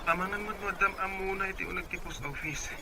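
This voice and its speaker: A man's voice: high voice, fast talker. A man playing an affectionate, dutiful young sweetheart and son in an Ilocano audio drama. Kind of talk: Ilocano radio-style drama acting. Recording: clearly noisy background.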